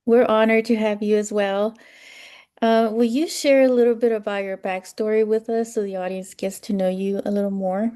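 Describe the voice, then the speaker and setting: A woman's voice: mid-range voice, steady tone, normal speed, mellow, clean tone. A woman hosting a spiritual podcast, welcoming her guest with a few hesitant fillers. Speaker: podcast host. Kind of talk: interview hosting.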